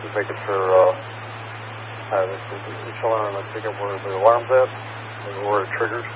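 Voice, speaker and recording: A man's voice: fairly low pitch, fairly even intonation, normal speed, background noise. A male police officer calling in from patrol over a scanner radio. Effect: police radio transmission.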